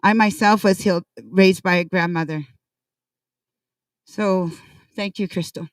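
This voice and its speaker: Woman leading a ceremony, earnest and repetitive, smooth clear voice, mic well off. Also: mid-range voice, fairly even intonation, unhurried, no echo. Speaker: ceremony speaker. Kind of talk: ceremonial speech. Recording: distant dry pickup.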